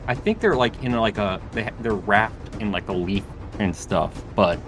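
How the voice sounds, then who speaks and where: Guy player at a tabletop game, bit deep, casual chat, music behind. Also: moderate pace. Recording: background music bed under voice.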